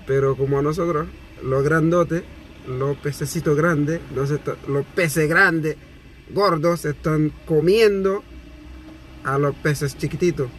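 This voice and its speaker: Man in his 30s, fired-up phone rant from a parked car, slightly high voice, faint traffic behind. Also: slow pace. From Haiti. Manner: animated, gesturing, repetitive. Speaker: vlogger. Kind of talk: indignant complaint to camera. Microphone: phone camera at arm's length.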